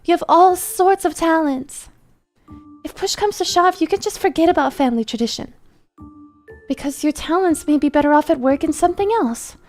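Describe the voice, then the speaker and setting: A woman's voice: very high-pitched, normal speed, darkish tone, faint music behind. A woman playing an anxious mother in a drama, coaxing and fussing over her troubled eldest son with pleading insistence. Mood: worried, coaxing. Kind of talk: dramatic character acting.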